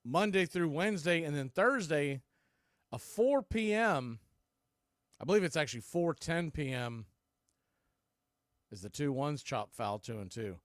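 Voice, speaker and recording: A man's voice: fairly high pitch, lively intonation, measured pace, long pauses, no room sound, clean studio sound. A man calling live baseball play-by-play for radio in clipped, punchy phrases. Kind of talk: live radio sports commentary.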